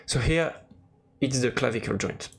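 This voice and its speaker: A man who speaks English as a second language, presenting an informal software demo in a halting, hesitant way with frequent pauses. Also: mid-range voice, vocal fry, slightly rough. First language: non-native English speaker.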